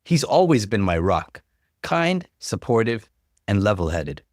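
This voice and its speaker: A man reading an online story aloud as the channel's narrator, in an expressive storytelling manner. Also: on the low side, measured pace, dry recording, clean studio sound.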